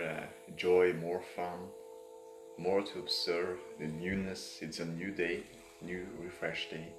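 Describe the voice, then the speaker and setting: A man leading an improvised guided meditation in a halting way, repeating words and drawing out phrases. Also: deep voice. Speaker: meditation guide, host of a live session. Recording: echoey room, clear background noise.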